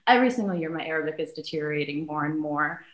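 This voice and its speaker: A woman's voice: sits low, expressive, fast talker. An American woman chatting casually and personally. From born in Sudan, raised in the United States. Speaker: beauty influencer, guest. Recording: roomy, echoing voice recording.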